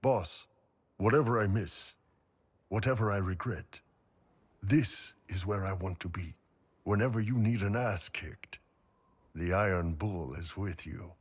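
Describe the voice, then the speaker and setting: A hulking horned mercenary captain for a fantasy game. The voice sits low and is rough and husky. He talks bluntly and casually, with a dry, wry edge. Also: unhurried, pauses often. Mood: resolute. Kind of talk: video game voice acting.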